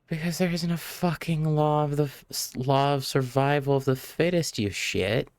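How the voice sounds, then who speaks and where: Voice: mid-range voice, moderate pace, darkish tone, no room sound. Sweary, exasperated game streamer, dry close mic. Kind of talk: live gameplay commentary, casual.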